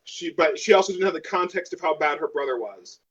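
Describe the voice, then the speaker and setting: Higher-voiced man thinking aloud, dull audio. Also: fairly quick, fairly bright tone, slightly rough. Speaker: player in a tabletop role-playing game. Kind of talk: casual out-of-character game discussion.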